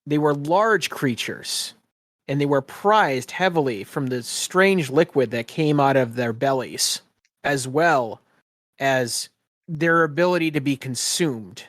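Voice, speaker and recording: Slow, deliberate male game master. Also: medium pitch, lively intonation, a bit bright, dry recording, studio quality. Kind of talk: slow descriptive narration of a tabletop game.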